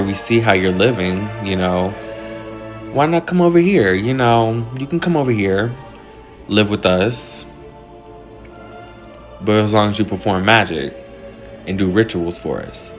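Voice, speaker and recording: Deep voiced guy talking slow, musing like he's onto a secret, faint music behind. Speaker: social media commentator. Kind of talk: casual speculative monologue.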